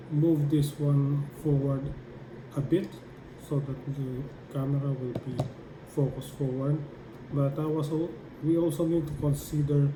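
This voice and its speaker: A man with a slightly high voice talks slowly through a practical tutorial. He explains step by step and haltingly, as if busy with his hands, in an echoey room. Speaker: tutorial presenter. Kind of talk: hands-on how-to demonstration. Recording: echoey room with clear background noise.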